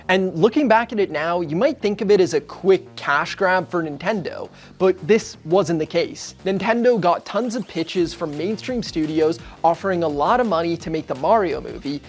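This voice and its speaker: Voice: medium pitch, darkish tone. A narrator reading a scripted video essay in a casual, conversational way. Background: background music.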